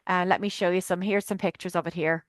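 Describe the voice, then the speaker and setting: A woman's voice: fairly low pitch, fairly even intonation, fast, no room sound. An Irish woman chattily presenting a trip on a video call webinar, enthusiastic with lots of fillers. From Ireland. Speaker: tour guide presenting a trip.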